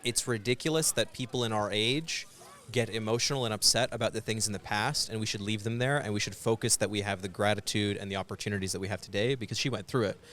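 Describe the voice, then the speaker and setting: A man's voice: fairly low pitch, steady tone, fast talker, hardly any pauses, fairly bright tone. A man hosting a podcast, chatting casually and jokingly with a stranger outdoors, with loose fillers like "you know". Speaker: podcast host interviewing strangers. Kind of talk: casual interview chat. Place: outdoors in a public area.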